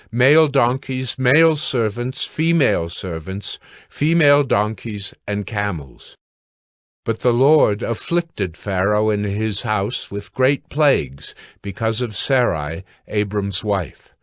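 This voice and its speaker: Guy narrating the bible, lowish voice, formal measured reading, very dry recording. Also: expressive, dry recording. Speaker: scripture narrator. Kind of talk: scripted scripture narration.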